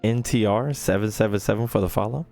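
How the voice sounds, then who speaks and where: A man's voice: on the low side, fast, mellow. A young man doing casual live-stream commentary on a game, with playful, slangy exclamations. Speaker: gaming streamer reacting to a game. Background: game audio and music.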